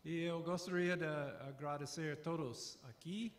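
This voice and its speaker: An older man from the southern United States, a native English speaker with an American accent, giving a conference lecture in halting speech full of "ah" pauses. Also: on the high side, steady tone. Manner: halting, searching for words with frequent "ah" fillers.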